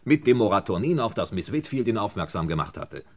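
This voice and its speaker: Police inspector, lowish voice, calm, methodical, authoritative, explanatory, 1960s radio crime play. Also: male voice, brisk. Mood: explaining. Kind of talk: 1960s German radio crime drama acting.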